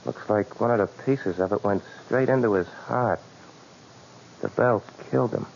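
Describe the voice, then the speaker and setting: A blunt working-class fellow with a creaky, gravelly voice and plain colloquial delivery. It is 1940s radio acting on a dull recording with a faint hiss. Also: male voice, on the low side, moderate pace. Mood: somber. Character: blunt working-class male bystander at the morgue.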